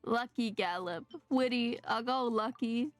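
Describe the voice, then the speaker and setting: A woman streams a game with music in the background. Her voice is on the high side, and she comments casually, muttering reactions and thinking aloud. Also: a little slow. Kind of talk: casual live gameplay commentary.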